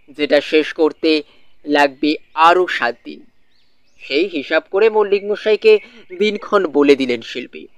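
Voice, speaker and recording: Slow, measured male story narrator. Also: a little high, dry recording. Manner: measured storytelling with deliberate pauses. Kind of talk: scripted literary narration. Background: soft music.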